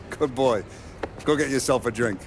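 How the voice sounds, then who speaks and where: An actor playing a jovial, paternal 1930s mob boss with a hearty way of talking. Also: male voice, mid-range voice, measured pace, background noise, natural voice. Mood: jovial, proud.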